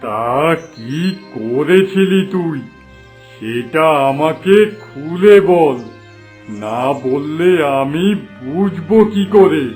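A man's voice: fairly high pitch, animated, drawn-out words, a little mellow, faint music behind. A man voicing a stern holy man in a cartoon moral tale, who admonishes and guides. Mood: stern, questioning. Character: stern male holy man, scolding yet guiding.

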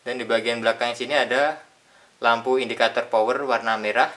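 A young man calmly explaining a tool step by step in an unboxing demonstration. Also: mid-range voice. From Indonesia. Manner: plain step-by-step explaining with pauses. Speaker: product reviewer. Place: indoors at a tabletop.

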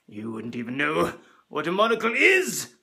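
A man's voice: a little high, huge pitch swings, normal speed, gravelly, sharp tone, voice pushed down, heavily acted. A man playing a pompous, crude rich Englishman with a mustache and monocle, in a comedy sketch video.

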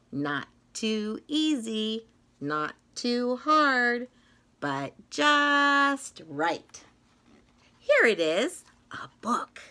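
A middle-aged woman reading a children's picture book aloud like a teacher, in an expressive, rhythmic sing-song manner with drawn-out phrases. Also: mid-range voice. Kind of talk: read-aloud of a children's story. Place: small indoor classroom-style room.

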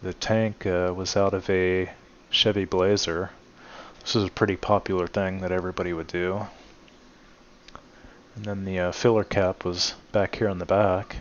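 A man's voice: low voice, normal speed, highs cut off. A man hosting his channel, casually walking viewers around his truck in a home garage with lots of "uh" fillers. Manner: relaxed, many "uh" fillers, addresses viewers as guys. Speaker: channel host showing his truck restoration. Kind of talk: casual walkaround narration.

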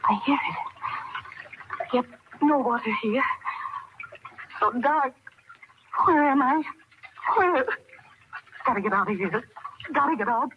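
A high-pitched, panicked woman fugitive mutters in breathless, broken phrases. It is old radio horror drama, muffled under heavy noise and hiss. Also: slow, long pauses. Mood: frantic, hushed. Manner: breathless fragmented muttering, rising to cries. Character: panicked, confused woman murderer fleeing in darkness. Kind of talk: 1940s radio horror acting.

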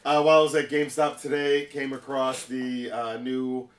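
Guy co-hosting a relaxed chat show, mid pitched voice, pretty echoey room. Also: moderate pace. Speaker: co-host. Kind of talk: casual chat about deals and collectibles. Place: room with glass display cases, indoors. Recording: echoey room, table setup.

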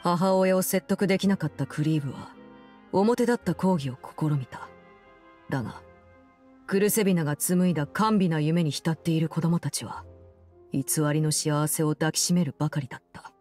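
An actress playing a cold, composed game villainess, telling a story in a measured, solemn and restrained way. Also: female voice, sits low, a little mellow, faint music behind. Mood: somber, restrained. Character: cold, composed woman, Fatui Harbinger who runs an orphanage. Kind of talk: scripted game story narration.

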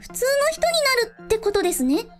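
Timid, extremely high-pitched young girl. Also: very animated, fast talker, over loud music. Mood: hesitant, anxious. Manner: hesitant, polite. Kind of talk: scripted radio drama acting.

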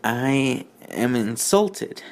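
Sarcastic male fan fiction reviewer, medium pitch. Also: very slow. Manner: mock-indignant, deadpan sarcasm. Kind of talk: sarcastic commentary and reading aloud.

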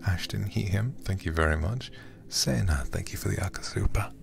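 A man streaming a game in ASMR style, murmuring in a hushed, breathy, gravelly whisper close to the microphone. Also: low voice, normal speed. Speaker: livestream host, gamer.

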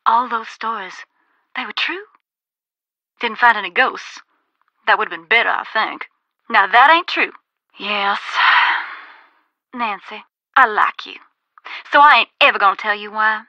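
An actress playing a folksy, wistful ghost-hunting author from a video game, with a twangy Georgia accent. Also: female voice, mid-range voice, a little slow, long pauses, bright, forceful tone, no echo, dull audio. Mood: somber. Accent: Southern US, Georgia. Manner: folksy, storytelling. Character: wistful Southern woman ghost hunter and author.

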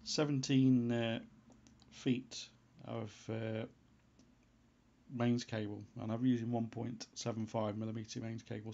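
A man giving an unscripted how-to voice-over as a hobbyist presenter, in a halting way, as if thinking aloud. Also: fairly low pitch, vocal fry, darkish tone. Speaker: hobbyist presenter of a homebrew antenna tutorial. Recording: dull, lo-fi sound.

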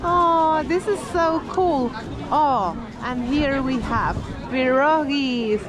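A woman's voice: sits high, takes it slowly. A young woman from Venezuela with a Venezuelan Spanish accent, chatting enthusiastically to viewers as a travel vlogger. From Caracas, Venezuela. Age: young adult. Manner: exclaiming, enthusiastic. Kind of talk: casual vlog chat to viewers. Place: train station, mall and outdoor Christmas market. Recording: noisy busy public places, crowd chatter.

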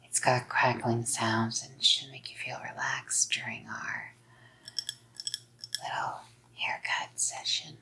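Woman playing a slow, whispering psycho girlfriend. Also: extremely low pitch, big pitch swings, creaky, heavily acted. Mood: soothing, intimate. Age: young adult. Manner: hushed, slow, coaxing. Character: doting, controlling psycho girlfriend. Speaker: ASMR role-play performer. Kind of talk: whispered ASMR role-play. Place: small dim indoor room.

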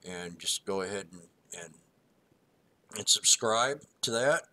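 Male co-host, lowish, forceful tone, casual rambling chart analysis voice-over. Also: lively intonation, measured pace. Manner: rambling, folksy, walks through price levels. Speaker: stock market co-host.

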